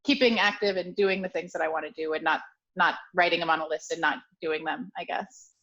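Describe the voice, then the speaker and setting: A woman's voice: fairly low pitch, steady tone, a little fast, fairly bright tone. An American woman in her thirties from Ohio, a chatty interview guest who laughs often and trips over restarts and fillers. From Ohio, USA. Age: 30s. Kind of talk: casual chat. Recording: dull lo-fi audio.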